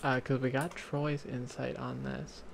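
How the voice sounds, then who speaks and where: A man hosting a casual livestream Q&A on a video call, chatty and quick to laugh, reading out questions from chat. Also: medium pitch, flat delivery, vocal fry, a little hiss or hum.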